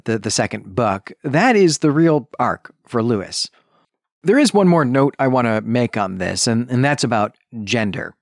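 Animated male podcast host, close dry sound. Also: mid-range voice, dry recording. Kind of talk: conversational book review monologue.

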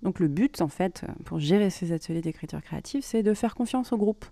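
A woman hosting a podcast, explaining things informally in a monologue and pausing as she thinks aloud. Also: lowish voice, lively intonation, warm tone, no room sound. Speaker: podcast host and creative writing workshop leader.

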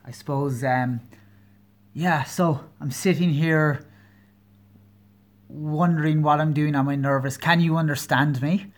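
A man's voice: fairly high pitch, steady tone. Earnest, hesitant Irish man with a Kerry lilt. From Ireland. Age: 40s. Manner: hesitant and earnest, many pauses and fillers; candid, openly personal. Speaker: podcast host. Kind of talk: solo podcast monologue.

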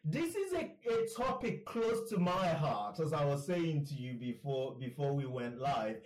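A man's voice: a little high, lively intonation, measured pace, reverberant, recorded from a distance, low-quality recording. A man hosting a podcast interview, enthusiastic and chatty, often repeating words and laughing. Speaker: podcast host. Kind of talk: casual interview chat.

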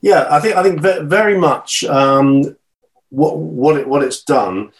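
A man's voice: a little high, measured pace. Earnest stammering man in his fifties. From Great Britain. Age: 50s. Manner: stammering restarts, earnest and encouraging. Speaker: guest, channel swimmer. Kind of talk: casual interview talk.

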